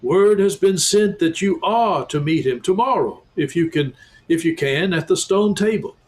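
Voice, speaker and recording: A man reading aloud and voicing an excitable, earnest male beaver who talks emphatically. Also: high voice, moderate pace, voice pushed up. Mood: eager.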